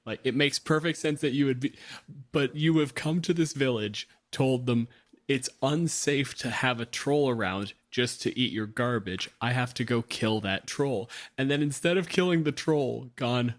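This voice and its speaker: A man's voice: medium pitch, moderate pace. A man speaking casually and bluntly, teasing a fellow player at a tabletop game.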